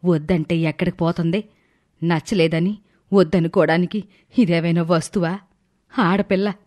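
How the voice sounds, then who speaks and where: A middle-aged mother in a family story, played by a woman, protective and indignant. Her voice is pitched down, blunt and concerned. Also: steady tone, moderate pace, a little mellow, close to an everyday voice.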